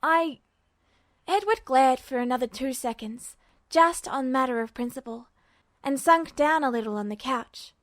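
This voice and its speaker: A woman reading fan fiction aloud as a podfic, with even, attentive storytelling narration. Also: sits high, normal speed, no room sound. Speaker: podfic reader.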